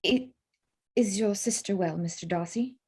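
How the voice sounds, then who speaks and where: A woman playing a witty, teasing young gentlewoman in a period drama reading, wry and measured. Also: medium pitch, slightly rough. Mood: guarded, polite.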